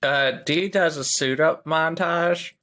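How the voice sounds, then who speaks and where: A man playing a tabletop game, narrating casually with a loose, halting, joking manner. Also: a little high, pushed and bright. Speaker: tabletop roleplay player.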